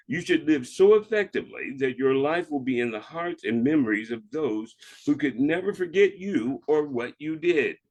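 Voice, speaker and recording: A man reads in the manner of a preacher sharing a devotional. His voice sits at an average pitch, and he delivers scripture and reflection deliberately, giving phrases measured weight. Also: darkish tone. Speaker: reverend reading a devotional. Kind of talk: devotional reading, sermon-like.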